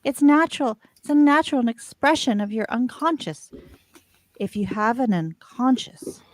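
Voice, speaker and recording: A woman playing a gentle, encouraging ship's counselor in an amateur radio play. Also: a little high, lively intonation, normal speed, natural voice, rough recording. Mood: caring, reassuring. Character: reassuring female ship's counselor.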